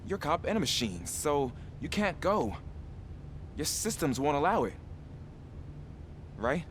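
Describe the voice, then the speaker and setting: A man's voice: a little high, big pitch swings, a little slow, slight background noise. An actor playing a young, nervous, eager rookie policeman in a video game, hesitant and pleading. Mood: flustered, pleading.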